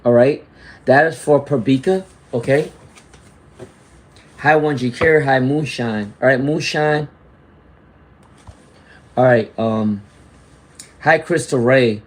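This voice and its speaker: A man doing a live tarot reading at a middling pitch. He talks to his viewers directly, greets people from the chat, and keeps checking in with "all right?" and "okay?" as he goes. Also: takes it slowly, pauses often. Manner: reads out viewer comments and greets chatters. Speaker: tarot reader.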